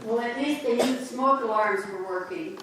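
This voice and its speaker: A woman's voice: fairly high pitch, moderate pace, far from the mic, background noise. Woman linking the stories in an echoey church. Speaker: church reader. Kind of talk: reader's theater narration. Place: large church sanctuary.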